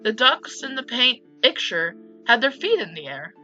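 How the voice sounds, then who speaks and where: A woman reading a visual novel aloud as a let's player, in an expressive, theatrical, giggly way. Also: a little high, normal speed, muffled recording. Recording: music playing behind the voice. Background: game music.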